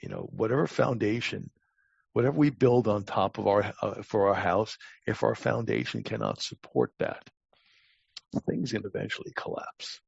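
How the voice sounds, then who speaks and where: Male interview guest, sixties, low husky voice, plain-spoken and opinionated, dull lo-fi recording. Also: normal speed. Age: 60s. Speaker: guest expert, talent acquisition advisor. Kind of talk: casual interview talk. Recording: muffled lo-fi, roomy sound.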